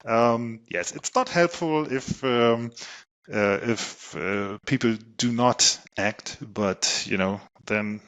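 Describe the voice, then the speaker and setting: A middle-aged man with a German accent, a guest on an interview podcast, answering with many pauses and fillers. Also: medium pitch, a bit bright. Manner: hesitant, many ums and you knows. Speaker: guest, solar company technology chief.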